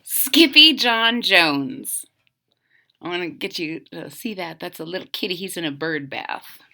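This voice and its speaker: A woman's voice: on the low side, expressive, a little slow, bright, forceful tone, a little hiss or hum. A woman doing an interactive children's read-aloud, speaking directly to young listeners with questions and asides. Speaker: storytime reader.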